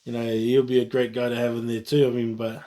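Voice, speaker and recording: Kiwi guy rambling on in a solo vlog, keeps correcting himself, mid pitch. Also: level tone, fairly quick, warm tone. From New Zealand. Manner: rambling, frequent restarts and self-corrections. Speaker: vlogger. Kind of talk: casual solo talk.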